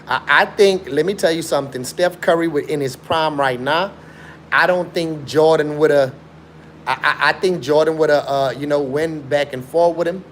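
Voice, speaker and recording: A man's voice: fairly high pitch, normal speed, a bit bright, a little hiss or hum. A young man from Chicago, raised in South Africa, hosting a casual live stream and arguing his point with repetitive, slangy, emphatic talk. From born in Chicago, raised in South Africa. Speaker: livestream host.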